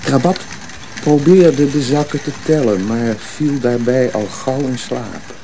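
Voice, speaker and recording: A man narrating a radio drama as a scripted story, in an expressive, suspenseful storytelling manner. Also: medium pitch, slow, a little hiss or hum, poor audio.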